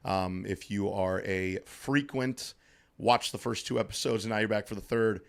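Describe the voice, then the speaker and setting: A man in his thirties co-hosts a relaxed podcast, talking into a studio mic. His voice sits low and carries heavy fry, roughened further by allergies into a scratchy hoarseness. He keeps things upbeat and jokey, rambling along with plenty of ums. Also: normal speed. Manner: upbeat, joking, rambling with fillers. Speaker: podcast co-host. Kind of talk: casual podcast chat. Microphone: studio microphone.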